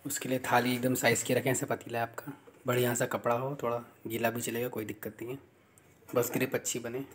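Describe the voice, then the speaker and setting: Man, cooking vlog, even flat delivery, noisy home kitchen, phone camera. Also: mid-range voice, quick pace. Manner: chatty, explains steps to viewers as he cooks. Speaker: vlogger.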